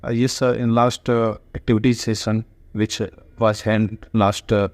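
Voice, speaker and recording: Hesitant man, low dry voice. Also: little pitch movement, no echo. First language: Hindi. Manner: hesitant, many pauses, politely says sir. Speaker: student and author being interviewed. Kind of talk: halting conversational answers.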